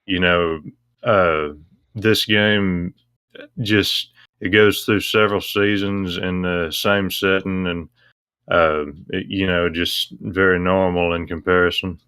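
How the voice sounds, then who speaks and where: A man being interviewed, explaining things casually in a measured, matter-of-fact way. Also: low-pitched, flat delivery, bright, forceful tone, clean tone, no echo. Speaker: interview guest.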